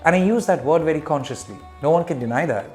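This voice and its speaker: A man from Kolkata hosting a news commentary, speaking in a measured, emphatic way. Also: fairly high pitch, animated, over loud music. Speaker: host. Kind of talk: slow, deliberate commentary.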